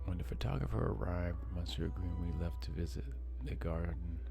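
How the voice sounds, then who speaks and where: A man's voice: low voice, fairly even intonation, normal speed, vocal fry, over music. A man streaming a game, casually thinking aloud in a muttering, musing way.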